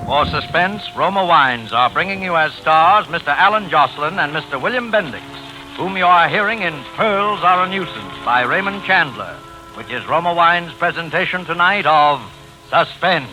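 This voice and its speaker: A man's voice: on the high side, lively intonation, normal speed, rough recording. A man announcing a 1940s radio suspense drama in a formal, theatrical style. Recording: vintage 1940s radio broadcast recording. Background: loud music.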